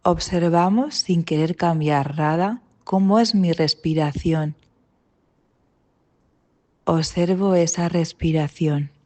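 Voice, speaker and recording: A woman with an accent from Spain leading a guided meditation, calm and gentle, with long pauses between short instructions. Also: fairly low pitch. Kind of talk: guided mindfulness body scan meditation.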